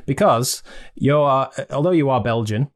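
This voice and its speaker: A man's voice: medium pitch, normal speed. A man hosting a podcast, joking and chatty, sometimes stumbling over his words when he teases. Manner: joking, playful, stammers when teasing. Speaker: podcast host. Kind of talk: casual podcast chat.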